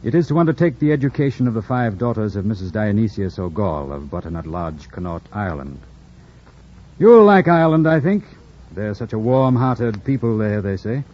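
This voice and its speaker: A brooding, imperious lord of the manor, with a lowish, husky voice. He speaks theatrically and passionately in old radio drama style. The recording sounds dull and lo-fi. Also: big pitch swings, normal speed. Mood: teasing, brisk. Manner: theatrical, imperious, swinging from hushed to forceful. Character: brooding, commanding, passionate gentleman master of a manor.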